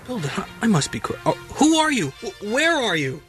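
A man's voice: sits high, big pitch swings, brisk. A man playing a startled man working in a hot field in a comic radio bit, jumpy and bewildered. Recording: archive clip with music behind.

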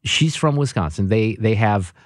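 Male radio host, animated teasing storyteller, very expressive pitch, dry booth mic. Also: moderate pace, dry recording. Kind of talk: casual on-air chat.

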